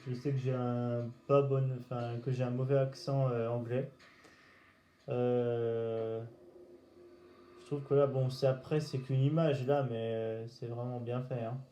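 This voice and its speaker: A man hosts a casual gameplay video in a flat, unhurried voice. He speaks haltingly, often searching for the next word, and the room around him is noticeably echoey. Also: medium pitch. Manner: hesitant, halting, searching for words. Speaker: gaming video host. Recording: roomy echo, faint background noise.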